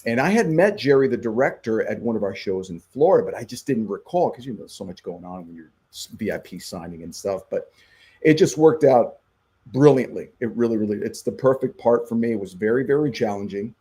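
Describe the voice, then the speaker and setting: A middle-aged man with a husky edge and a lively, swooping delivery. He talks earnestly about his craft, peppering it with "man" and "you know.". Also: mid-range voice, fairly quick. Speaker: actor and musician guest. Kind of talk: casual interview chat.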